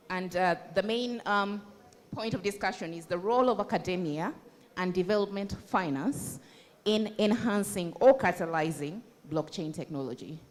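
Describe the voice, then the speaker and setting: A woman's voice: medium pitch, a little mellow. A woman speaking informally to an audience as a panel moderator. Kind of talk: public address introducing a panel.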